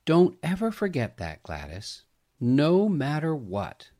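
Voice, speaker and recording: Man voicing a gentle mother, lilting and tender. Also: a little high, wildly swooping pitch, takes it slowly, pitched above the performer's own voice, exaggerated voice. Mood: gently earnest. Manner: tender, reassuring. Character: gentle, loving mother comforting her young daughter.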